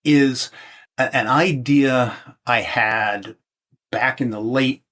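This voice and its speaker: A man's voice: medium pitch, steady tone, slow, a bit bright. A man of seventy from the United States, talking as a guest in a casual interview, hesitant and full of restarts. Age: 70s. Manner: hesitant, many restarts and fillers.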